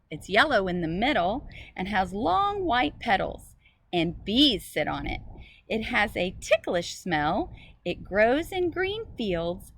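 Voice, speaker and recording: A woman teacher reading a picture book aloud to young children in an expressive way, with simple phrasing. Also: medium pitch. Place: outdoors in a school garden. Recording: noisy outdoor recording with ambient sound.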